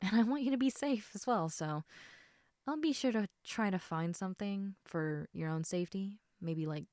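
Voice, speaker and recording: A woman playing a caring, slightly snarky snake woman in an intimate audio roleplay, speaking in a soft, hesitant conversational murmur that dips into whispers. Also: medium pitch, moderate pace, no room sound, clean studio sound, lightly acted.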